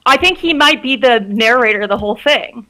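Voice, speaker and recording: A woman's voice: a little high, lively intonation, brisk, pushed and bright. A woman improvising casual comedy banter as an audition guest, with a mock self-important manner.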